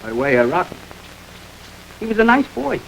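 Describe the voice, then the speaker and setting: An actor playing a breezy, wisecracking, sardonic ex-convict gangster in an old-time radio drama. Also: male voice, sits high, very animated, moderate pace, gravelly, exaggerated voice. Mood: sly. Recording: noisy vintage radio recording.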